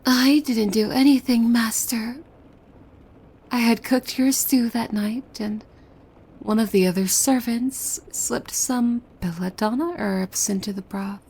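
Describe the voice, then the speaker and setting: A woman playing a possessive demon maid in an ASMR roleplay, devoted and softly menacing, with hushed formal speech that often slips into breathy whispers. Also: a little high, steady tone, slow pace, exaggerated voice. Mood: calmly deflecting.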